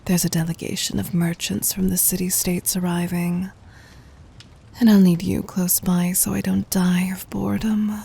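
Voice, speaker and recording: A woman playing a gentle, dominant demon queen in an ASMR roleplay, teasing and intimate, in a breathy whisper. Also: mid-range voice, full character voice. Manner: slow, intimate, commanding.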